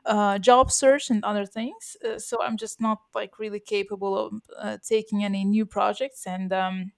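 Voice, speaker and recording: A woman co-hosting a podcast, born bilingual with Russian as one of her first languages. She talks in a loose, chatty way, full of fillers, restarts and quick laughs. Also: medium pitch, fairly even intonation, vocal fry. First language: Russian, bilingual from birth. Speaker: podcast co-host. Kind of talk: casual podcast chat.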